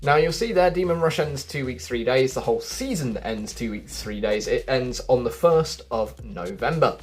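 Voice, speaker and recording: Man, medium pitch, casual gaming commentary, chatty video host addressing viewers, faint background music. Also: lively intonation, normal speed. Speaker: video game news YouTuber.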